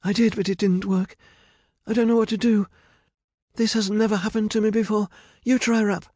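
A man's voice: high voice, flat delivery, moderate pace, darkish tone, no echo, studio quality, pitched above the performer's own voice. A man voicing a reckless, willful young sorceress with an urgent, exclamatory manner in a storybook narration. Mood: panicked.

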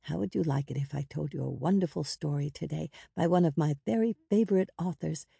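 Woman as a soft, soothing big sister. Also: low voice, fairly quick, creaky, slightly rough, no room sound, studio quality, exaggerated voice. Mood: gentle storytelling. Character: protective, gentle ten-year-old big sister.

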